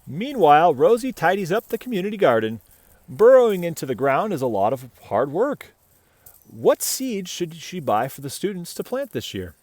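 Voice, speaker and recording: A man reads a picture book to children with a very animated, swooping delivery. His voice sits fairly high and sounds close and dry, and he playfully steps aside to chat with the young listener. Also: normal speed, no echo. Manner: playful, asks the listener questions. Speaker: picture-book reader, host. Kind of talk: animated children's read-aloud with chatty asides.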